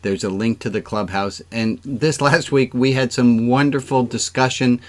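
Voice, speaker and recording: A man's voice: mid-range voice, fairly even intonation, normal speed. A man speaking as a rabbi, giving chatty, informal announcements to an online congregation on a video call, with joking asides. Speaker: rabbi hosting an online service.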